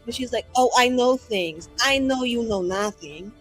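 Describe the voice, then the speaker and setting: A woman voices a cheeky, defiant adventurer in a tabletop game. The voice is fairly high and very animated, casual and playfully blunt, over faint music. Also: normal speed, natural voice, rough recording. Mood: annoyed.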